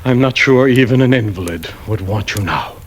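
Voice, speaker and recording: An actor playing a belittling, condescending husband in a 1940s radio suspense drama. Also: male voice, moderate pace, music in the background, close to an everyday voice. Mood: sneering. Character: cruel, belittling invalid husband with smooth sociable front. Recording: noisy vintage radio recording with rumble.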